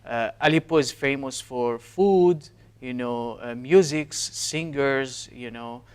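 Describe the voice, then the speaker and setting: A man's voice: mid-range voice, takes it slowly, clean tone. A man in his thirties from Syria with a Syrian Arabic accent, answering interview questions earnestly with frequent hesitations. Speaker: guest, physician.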